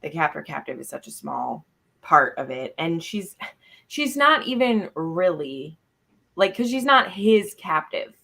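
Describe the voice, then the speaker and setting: Woman w lowish creaky voice chatting about books, animated and informal, acts out reactions. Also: a little slow. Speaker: book club panelist. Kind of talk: casual chat about books.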